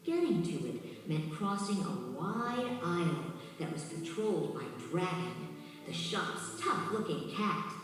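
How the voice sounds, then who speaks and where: A woman's voice: fairly low pitch, very animated, takes it slowly. A woman reading scripted children's storybook narration as the narrator of a read-along. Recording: very echoey distant hall, music underneath.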